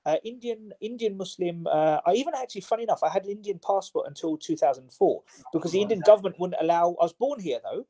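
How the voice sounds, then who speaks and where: A man's voice: high voice, lively intonation, fast, hardly any pauses, mellow, slightly rough, poor audio. A man, a personal trainer, answering interview questions in a chatty way, with many fillers and restarts. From Southwest London.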